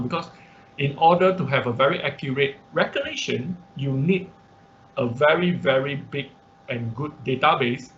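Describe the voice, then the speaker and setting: A man who speaks as a gives an informal explanatory online lecture, halting and repeating himself, often checking in with short tag questions. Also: mid-range voice. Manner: frequent tag questions like "okay" and "right". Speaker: online class instructor. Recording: dull lo-fi online-class audio with noise.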